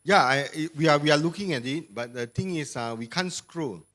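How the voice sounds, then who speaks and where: A man's voice: fairly high pitch, animated, a little fast, a bit bright. A man moderating remotely, putting brief, direct questions to the surgeons. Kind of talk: questioning over a video link.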